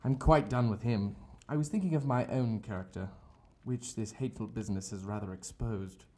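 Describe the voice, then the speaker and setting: A man acting a shaken, remorseful gentleman doctor in an audio drama, speaking formally and earnestly. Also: fairly low pitch, normal speed. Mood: troubled, earnest.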